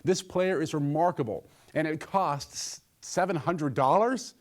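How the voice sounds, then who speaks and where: A man's voice: fairly high pitch, unhurried. A man presenting a scripted gadget review, with a joking streak in his delivery.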